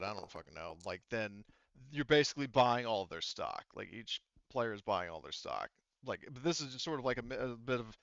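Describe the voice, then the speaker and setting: A man running a tabletop game as game master in casual talk, explaining rules in a halting, rambling way full of asides. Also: medium pitch, a bit bright, clean tone, no room sound, dull audio.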